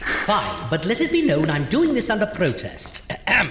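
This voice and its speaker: A man playing a sardonic undead crypt-keeper who hosts a horror audio show, with a theatrical, drawn-out delivery. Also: high-pitched, wildly swooping pitch, a bit bright, faint music behind, full character voice. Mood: grudging, sulky. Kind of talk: theatrical horror host monologue.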